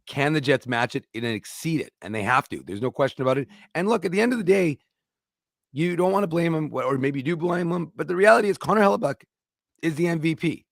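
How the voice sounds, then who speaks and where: A man's voice: a little high, animated, creaky, no room sound, clean studio sound. A man hosting a sports talk show, chatting emphatically about hockey. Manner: emphatic, quick to agree. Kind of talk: casual sports chat.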